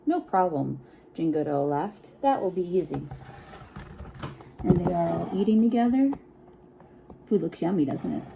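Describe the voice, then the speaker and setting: A woman reading a picture book aloud to children in an expressive, encouraging way, with chatty asides and frequent check-in questions. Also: on the low side, gravelly, noisy background. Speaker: children's storytime reader.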